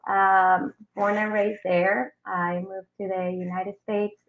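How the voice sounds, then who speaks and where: A woman's voice: mid-range voice, level tone, slow. A young adult woman from Colombia, a native Spanish speaker with a Colombian Spanish accent, chatting as a musician guest on a video call with many ums and you-knows. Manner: warm, chatty, fills with um and you know. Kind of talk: casual interview answers. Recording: dull lo-fi video call audio.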